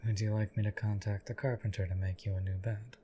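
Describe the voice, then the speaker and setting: Man playing a deep, flat-voiced stoic knight. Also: a little hiss or hum, close to an everyday voice. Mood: earnest, deadpan. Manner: deadpan, formal, measured, archaic courtly phrasing. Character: stoic, loyal male knight, formal and devoted. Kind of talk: scripted audio roleplay addressed to the listener.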